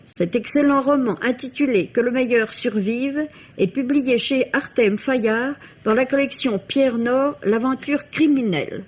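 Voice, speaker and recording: Lady doing a book review, highish husky voice, very literary, muffled old radio sound. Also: measured pace, hardly any pauses. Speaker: book critic. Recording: dull, lo-fi vintage radio recording.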